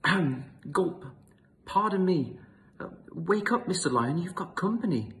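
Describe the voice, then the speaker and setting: A man's voice: high-pitched, lively intonation, measured pace, fairly bright tone, pitched above the performer's own voice, heavily acted. A man voicing a tiny, meek but plucky male mouse in a children's storybook, hesitant and polite. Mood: nervous, polite.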